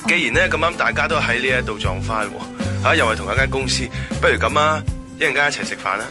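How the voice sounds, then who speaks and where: A man's voice: medium pitch, animated, quick pace, over music, lightly acted. Breezy, forward young man played by an actor. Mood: eager, breezy. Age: young adult. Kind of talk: Cantonese radio drama acting.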